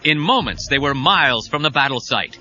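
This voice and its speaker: A man's voice: medium pitch, animated, brisk, sharp tone, a little hiss or hum. A man narrating a scripted superhero adventure in a dramatic storytelling style. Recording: dull cassette audio with music.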